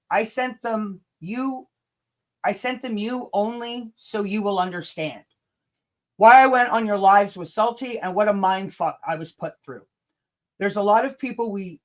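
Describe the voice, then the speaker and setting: A livestream host chatting casually and reading messages aloud, blunt and sweary, stumbling and rereading as they go. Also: medium pitch.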